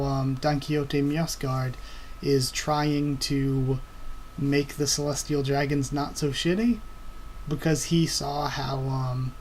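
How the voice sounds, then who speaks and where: A young man reacting live to a new manga chapter, excitable and thinking aloud with stammering restarts. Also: medium pitch, a little hiss or hum.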